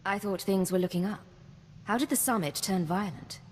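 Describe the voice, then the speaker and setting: A woman's voice: mid-range voice, normal speed, a little mellow, natural voice. A woman voicing a self-possessed, wry imperial secret agent in scripted video game dialogue. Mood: concerned. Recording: long reverb, noisy game ambience.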